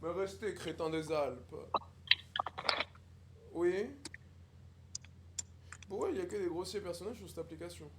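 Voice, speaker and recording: A man's voice: high-pitched, a little slow, pauses often, heavy background noise. A young man livestreaming, putting on a haughty, snobbish young posh man who mocks strangers in affected formal speech. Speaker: livestreamer on a video chat app. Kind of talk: improvised character roleplay with strangers.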